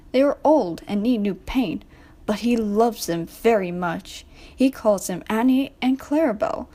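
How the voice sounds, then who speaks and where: A woman's voice: medium pitch, a little mellow. A woman narrating a scripted children's storybook.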